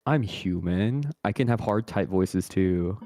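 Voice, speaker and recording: A man guesting on a livestream, soft-spoken and stammering a little, laughing often. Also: low voice, expressive, slow, no echo, studio quality. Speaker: guest streamer. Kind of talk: casual chat.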